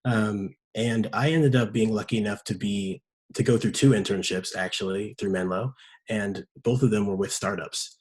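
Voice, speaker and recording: A man in his late twenties from Seattle, talking in a relaxed, conversational way as a podcast guest. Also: fairly low pitch, moderate pace. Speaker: guest, startup operations manager. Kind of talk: podcast interview.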